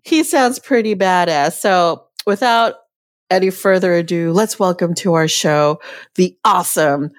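A woman's voice: fairly low pitch, measured pace, no echo, clean studio sound. A young woman co-hosting a podcast, chatting loosely and reading a guest's introduction with giggles. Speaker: podcast co-host. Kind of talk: casual chat.

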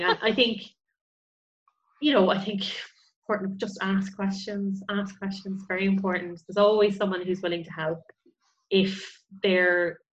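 Female archaeologist as interview guest, lower voice, explanatory and conversational, slightly dull lo-fi sound. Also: a bit bright, slight background noise.

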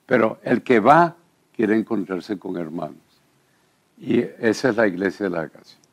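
A man's voice: fairly low pitch, animated, moderate pace, vocal fry. An older man, a pastor, talking earnestly in a church program conversation.

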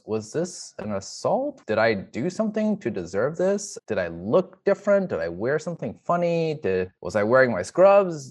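A young man speaking as an interview guest, telling a personal story in an earnest, careful, measured and reflective way. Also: medium pitch. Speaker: emergency physician, interview guest. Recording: music under the voice. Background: instrumental music.

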